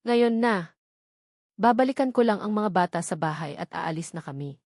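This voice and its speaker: A woman narrator plays a frightened mother in an audio drama, bitter and desperate to shield her children. Her delivery is urgent and fearful, often lifted a little in pitch, in a dry recording. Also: lively intonation, moderate pace, darkish tone, dry recording.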